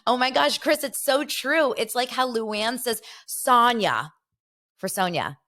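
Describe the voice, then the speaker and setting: A woman's voice: a little high, lively intonation, a little slow, pushed and bright. A young woman from Los Angeles hosting a podcast, chatting animatedly and gossiping while reading out viewer comments. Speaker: podcast host. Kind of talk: casual chat.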